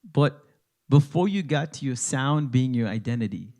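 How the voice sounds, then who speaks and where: Energetic male interview host. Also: mid-range voice, moderate pace. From Scarborough, Canada. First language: Tamil speaker, born in India. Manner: energetic, prompting and probing. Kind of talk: conversational interview.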